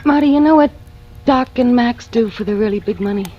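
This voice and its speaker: A woman's voice: a little high, brisk, a little hiss or hum, natural voice. Lady acting nervy scheming gangster's girl, melodramatic, dull old radio sound. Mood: nervous. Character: frightened, scheming femme fatale, a gangster's lover. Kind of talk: 1940s-50s radio noir acting. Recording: muffled vintage radio recording.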